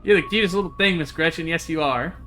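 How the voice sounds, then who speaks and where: A man voicing a friendly older small-town sheriff in a visual novel, with folksy, unhurried turns of phrase. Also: fairly high pitch, bright, forceful tone, slight background noise. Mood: affectionate.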